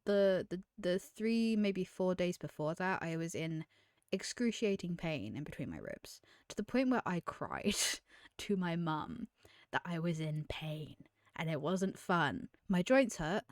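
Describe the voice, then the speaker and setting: A woman's voice: lowish voice, darkish tone, no echo, clean studio sound. A woman, chatting candidly to camera as a streamer, often trailing off and restarting. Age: 20s. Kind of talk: casual chat to camera.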